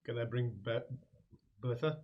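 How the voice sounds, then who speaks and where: A man's voice: medium pitch, unhurried, muffled recording. A man chatting casually as a player in a tabletop roleplaying game. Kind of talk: casual chat.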